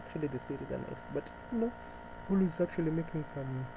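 A man's voice: fairly high pitch, fast talker. A man from Nairobi with a Kenyan accent chats casually and unscripted, rambling and hesitant as he thinks aloud. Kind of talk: casual unscripted monologue chat. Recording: noisy with clear mains hum.

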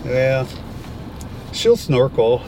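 A man's voice: medium pitch, very animated, extremely slow, rough recording. An older man in a casual, conversational chat, talking from inside a vehicle. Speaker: guest, outdoorsman. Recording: loud vehicle and air noise.

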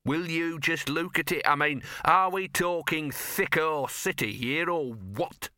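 A man's voice: medium pitch, unhurried, bright, forceful tone, no room sound, clean studio sound. A man voicing a cynical, streetwise little mongrel dog with a sardonic, muttering, withering manner. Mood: bitter, withering.

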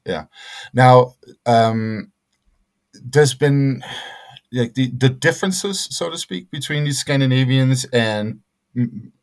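A middle-aged man answering interview questions as a scholar, speaking haltingly with many fillers and restarts. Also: lowish voice, darkish tone. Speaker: guest scholar.

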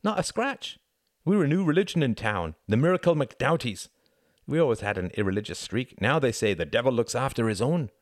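A man's voice: medium pitch, animated, a little fast, dry recording, clean studio sound, pitched above the performer's own voice, natural voice. A man voicing an earnest, sardonic young working-class man in a storybook, speaking in an emphatic, rhetorical way. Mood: wry storytelling.

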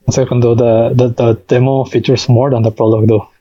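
A man's voice: mid-range voice, little pitch movement, fairly quick, warm tone, husky, dry recording, lo-fi sound. A man who is a native Spanish speaker, explaining his work as a game developer in a casual interview, in piecemeal phrases.

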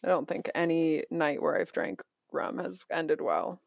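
A woman in her thirties with a lowish, gravelly, fry-tinged voice, chatting and laughing easily on a podcast. The sound is dry and close, with no room echo around her. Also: a little slow. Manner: laughs often, relaxed and chatty. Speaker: podcast co-host, musician. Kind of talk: casual chat.

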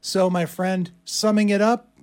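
A man with a fairly high voice chats as a morning radio co-host. His delivery is casual and conversational, pausing here and there to gather his thoughts. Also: level tone, clean tone.

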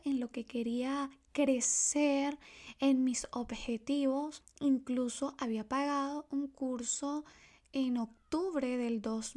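A woman's voice: high-pitched, mellow. A young woman from Ecuador hosting her own podcast, talking in a chatty, confiding way full of asides and fillers. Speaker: podcast host. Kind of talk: casual solo podcast talk.

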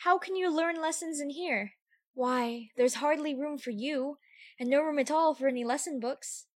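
A curious, chatty little girl, acted by a woman, with a high voice. She is polite and a little prim, thinking aloud to herself in a storybook manner. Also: normal speed, lightly acted. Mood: wistful, musing. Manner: musing, prim, talking to herself. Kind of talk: audiobook character acting.

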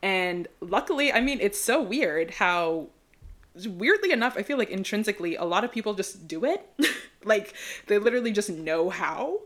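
A woman's voice: mid-range voice, big pitch swings, moderate pace, a bit bright. A young woman from the Bahamas, co-hosting a casual podcast chat, rambling and leaning on "like" a lot. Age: young adult. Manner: chatty, rambling, heavy use of "like". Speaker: podcast co-host.

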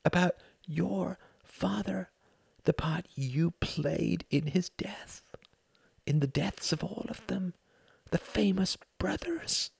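A man voices an enraged young son in an audiobook, shouting high and hard at his mother, then sinking into bitter accusation. His voice is rough and husky, and its pitch swings widely, recorded dry and close. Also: expressive, slow, no echo, full character voice. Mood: bitter accusing. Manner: shouting, raging, pleading. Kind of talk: audiobook character voice.

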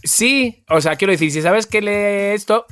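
A woman's voice: mid-range voice, normal speed, a bit bright. A woman with a Spain Spanish accent co-hosting a casual podcast, playful and repeating words for emphasis. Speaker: podcast co-host. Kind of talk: casual chat among friends.

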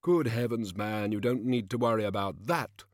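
A man's voice: mid-range voice, animated, measured pace, darkish tone, dry recording, studio quality, voice pushed up, natural voice. A man voicing a hearty, overbearing storybook king with pompous, jovial upper-class bluster. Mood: hearty, bluff. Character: hearty, overbearing king, forcing his charity.

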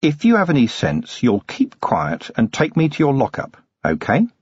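A blunt, exasperated police commander, acted by a male narrator. He lectures forcefully in a husky voice with big swings of pitch, as in an audiobook. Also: wildly swooping pitch, normal speed, no room sound, lo-fi sound. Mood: urgent, blunt.

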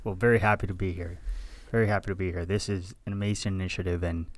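A man's voice: deep voice, steady tone, fast talker, no echo. A man in his thirties from Cuba, answering conversationally as an interview guest in a gracious, effusive manner. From Cuba, moved to the US at six. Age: 30s. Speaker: podcast guest, graduating medical student.

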